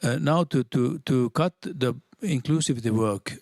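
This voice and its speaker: Halting Swedish-speaking man, dry sound. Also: medium pitch, a little mellow, no echo. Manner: halting, many restarts, earnest and persuasive. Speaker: audience member at a seminar. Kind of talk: spontaneous public comment.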